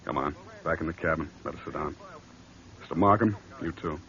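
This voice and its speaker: An actor playing a grim, rattled airplane pilot who speaks in blunt, clipped urgency in a vintage radio horror drama. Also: male voice, very deep voice, fairly quick, bright, forceful tone. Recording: dull lo-fi old radio recording.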